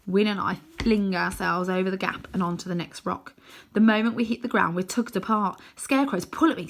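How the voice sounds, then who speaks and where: Expressive woman narrating a children's story. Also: medium pitch. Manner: dramatic, deliberate, dropping to hushed tones for suspense. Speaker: teacher reading aloud.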